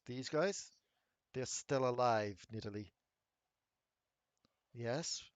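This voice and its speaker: A man's voice: medium pitch, no echo, dull audio, natural voice. A man voicing a predatory cat-man hunter who speaks formally and coldly. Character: deep-voiced male cat-man hunter, predatory, menacing.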